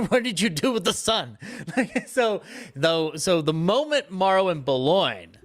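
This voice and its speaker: A man's voice: high-pitched, very animated, measured pace. A man speaking as a fan panelist in a casual discussion, telling things like stories and acting out quotes. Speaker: panelist, old-time radio fan.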